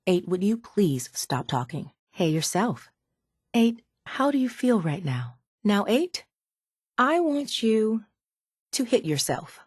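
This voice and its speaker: An actress playing a sardonic, calculating raider chemist in a video game, speaking in a dry, measured, faintly condescending way. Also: female voice, mid-range voice, very animated, slightly rough, no echo, full character voice. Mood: clinical, cold. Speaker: video game character voice actor. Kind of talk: scripted video game dialogue.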